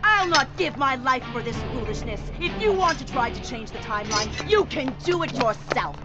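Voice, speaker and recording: Animated female voice, rebellious bitter servant, indignant, game drama, muffled under loud music. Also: sits high, moderate pace. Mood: indignant defiance. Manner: sharp, indignant. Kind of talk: dramatic video game acting.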